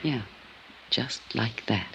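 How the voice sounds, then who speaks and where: An actress playing a troubled American woman in her late twenties in a 1950s radio drama, speaking in a subdued, halting way. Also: very deep voice, vocal fry, sharp tone, husky, dull audio, full character voice. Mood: anxious, halting. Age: young adult.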